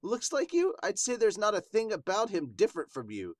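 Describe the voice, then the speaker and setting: A man's voice: high-pitched, huge pitch swings, fairly quick, dry recording, studio quality, voice pushed up. A man voicing an incredulous, teasing male adventurer from a manga, exclamatory and questioning.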